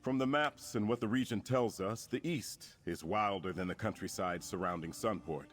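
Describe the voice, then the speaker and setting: A man performs a resolute fantasy war leader from a video game. His voice sits fairly low, and he speaks in formal, earnest, commanding lines. Music plays underneath. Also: moderate pace, lightly acted. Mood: grave, informative. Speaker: quest-giving game character. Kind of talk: scripted fantasy game dialogue.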